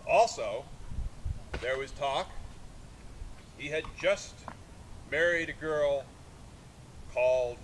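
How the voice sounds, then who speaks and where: A man's voice: slow pace, pauses often. A man giving a live literary reading outdoors, declaiming in short, broken phrases. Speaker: artist reading aloud and taking questions. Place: outdoors in a sculpture park. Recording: noisy outdoor recording with vehicle rumble.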